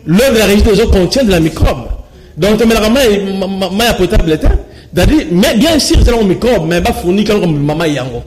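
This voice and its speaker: High-voiced Congolese man, animated studio guest. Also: brisk. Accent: Congolese French with Lingala. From Kinshasa, Congo. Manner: gesturing, mixes French and Lingala, many restarts. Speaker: studio guest, local resident. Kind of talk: studio interview, animated talk. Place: TV studio with screen backdrop.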